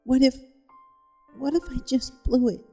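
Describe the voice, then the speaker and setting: Man voicing a high-pitched, flustered young pegasus mare. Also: fairly even intonation, measured pace, gravelly, warm tone, soft background music, exaggerated voice. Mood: panicked. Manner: hesitant, stammering. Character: flustered, lovestruck young female pegasus pony, anxious and earnest. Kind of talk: fan fiction story reading.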